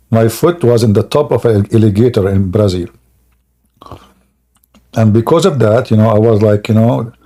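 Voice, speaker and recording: A man's voice: medium pitch, mellow. A man hosting a live call-in debate show, mocking and joking as he spins tall tales. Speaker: host.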